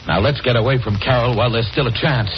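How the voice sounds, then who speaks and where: A man's voice: medium pitch, fast talker, slight background noise, natural voice. An actor playing an urgent, hard-headed, impatient man giving orders in a 1940s radio horror drama. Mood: exasperated. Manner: insistent, commanding, exasperated. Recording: muffled vintage radio recording with music.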